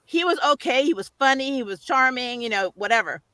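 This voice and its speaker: A woman's voice: high-pitched, animated, brisk, sharp tone, no room sound. A woman hosting a casual, opinionated TV recap chat, emphatic and exasperated, often breaking into laughter. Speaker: host of a pop culture review show.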